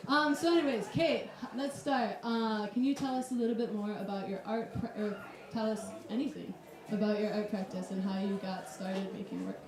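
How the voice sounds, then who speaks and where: A woman's voice: medium pitch, steady tone, normal speed, hardly any pauses. Woman moderating a live artist talk, nervous, filler-heavy; roomy club, a bit off-mic, crowd audible. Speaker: host. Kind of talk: casual live panel moderating. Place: club room with audience. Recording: echoey room, distant mic, crowd.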